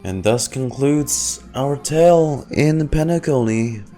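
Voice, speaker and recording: A man's voice: medium pitch, animated, slow, dark tone. A man streaming a game reaction, reading dialogue aloud and giving his commentary over game music.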